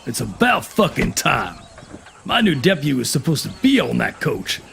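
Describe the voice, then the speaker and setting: An actor playing a grumpy, foul-mouthed Old West sheriff, gravelly and grumbling, in an audio drama. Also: male voice, mid-range voice, huge pitch swings, moderate pace, fairly bright tone, slight background noise, full character voice. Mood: irritated. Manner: grumbling, irritable. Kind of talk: audio drama western acting.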